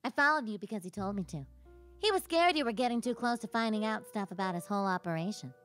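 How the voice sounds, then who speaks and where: Woman playing a bright, streetwise little boy. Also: mid-range voice, lively intonation, fast, soft background music, close to an everyday voice. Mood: confessing. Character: nine-year-old streetwise boy, blunt and worldly. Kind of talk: comedy radio play dialogue.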